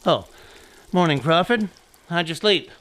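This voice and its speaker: A man's voice: fairly high pitch, expressive, unhurried, a bit bright, a little hiss or hum. A man playing a brusque, shifty, bossy fellow at a desert house in an audio mystery drama. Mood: polite, guarded. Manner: bossy, curt. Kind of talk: audio drama re-creation of 1930s mystery film.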